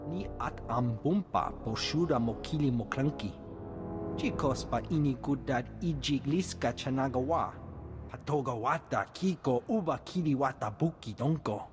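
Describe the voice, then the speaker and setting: A man's voice: mid-range voice, animated, normal speed, lightly acted. A man voicing a scheming, glib alien in a video game, speaking in invented alien syllables. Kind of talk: video game creature acting. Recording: long reverb with music behind.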